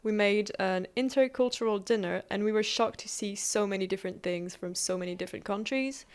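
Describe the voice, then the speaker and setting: Clear-voiced 20-year-old French woman. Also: mid-range voice, a little fast, a little mellow. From France. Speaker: podcast co-host. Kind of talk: casual chat.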